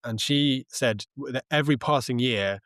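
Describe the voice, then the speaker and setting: A man speaking as an interview guest, explaining things in a thoughtful, halting, conversational way. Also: mid-range voice, a bit bright, no echo. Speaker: interview guest, journalist and author.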